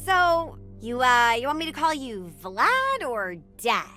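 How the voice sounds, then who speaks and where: A woman's voice: high voice, sing-song, takes it slowly, pushed and bright, soft background music, full character voice. An actress voicing an impish, chaotic young female blood weapon in a game, playful and giddy. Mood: teasing. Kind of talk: game voice line.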